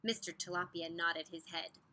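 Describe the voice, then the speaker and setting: A woman reading a children's picture book aloud in an expressive, emphatic way, drawing out some words. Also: fairly low pitch, slight background noise. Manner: animated, emphatic, with drawn-out words. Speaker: storybook reader.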